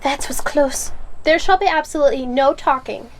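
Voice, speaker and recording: A woman playing a stern, coldly brisk exam proctor in an audio drama, with a clipped, formal, commanding manner. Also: sits high, noisy background. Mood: strict.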